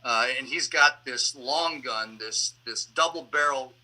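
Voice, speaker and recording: A man with a very bright, sharp voice talks as a panel guest. His pitch is average, and his answers are casual and come out piece by piece with hesitation. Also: unhurried, poor audio. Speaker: panel guest, theatre radio play producer. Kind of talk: casual discussion, answering questions.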